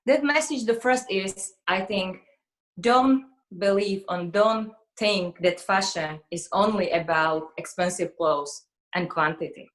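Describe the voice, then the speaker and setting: A woman's voice: medium pitch, takes it slowly, low-quality recording. A woman in her forties from Slovakia with a Slovak accent, speaking conversationally as an interview guest. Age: 40s. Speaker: interview guest, fashion model.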